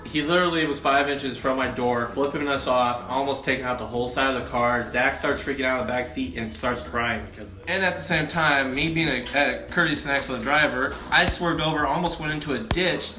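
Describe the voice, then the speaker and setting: Male, young adult, sharp-edged voice, blunt casual storyteller, recorded with noticeable room echo. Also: medium pitch, fairly quick, few pauses. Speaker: vlogger's husband chiming in on a story. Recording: roomy, echoey sound.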